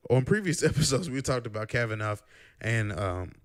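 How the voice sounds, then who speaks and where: A man's voice: mid-range voice, moderate pace. A young man hosting a casual podcast, teasing his guest and steering the talk. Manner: teasing, relaxed, steers the topics. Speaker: podcast host. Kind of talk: casual chat.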